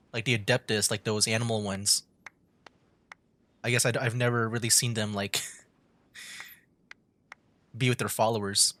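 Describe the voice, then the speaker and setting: Bright-voiced man rambling over game music. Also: mid-range voice. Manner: rambling, filler-heavy, laughs easily. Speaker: streamer playing a story game. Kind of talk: casual let's-play commentary. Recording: stream with game music behind.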